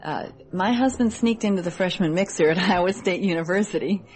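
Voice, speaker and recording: Woman, thirties, Midwestern American, low register, earnest and articulate radio interview guest. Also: brisk, darkish tone. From Midwest United States. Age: 30s. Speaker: interview guest, military wife. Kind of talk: radio interview, answering questions.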